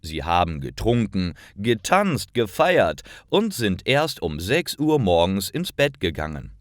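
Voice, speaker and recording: A man reading simple stories aloud in a clear, careful narration for language learners. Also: on the low side, very animated, a little slow, no echo, clean studio sound. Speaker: storyteller for German learners. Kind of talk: scripted slow narration.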